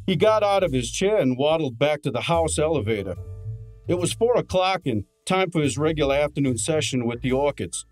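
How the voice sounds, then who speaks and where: A man's voice: a little high, animated, a little fast, soft background music, natural voice. A man playing a brash, wisecracking detective's assistant and narrator in a snappy old-time radio drama style. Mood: wry narrating. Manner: snappy, hard-boiled, wisecracking.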